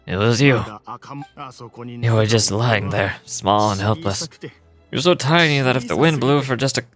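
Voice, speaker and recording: A man's voice: mid-range voice, normal speed, close to an everyday voice. An actor playing a doting, boastful older desert gang leader and adoptive father in a video game, reading out a letter with sighs. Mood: boastful, fond. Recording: music playing behind the voice. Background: game music.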